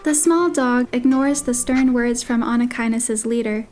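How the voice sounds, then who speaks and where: A woman's voice: fairly high pitch, normal speed. A woman reading scripted narration for a romance story, with guitar music behind her.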